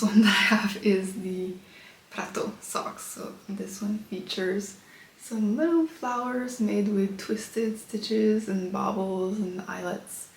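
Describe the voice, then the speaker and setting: A woman with a slightly stuffy, congested voice talks in a loose, chatty way, showing off her knitting. Her voice rings around an echoey room. A faint hiss of background noise sits behind her. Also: medium pitch. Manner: relaxed and chatty, with frequent fillers. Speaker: knitting podcast host. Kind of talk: casual chat showing knitting. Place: her apartment.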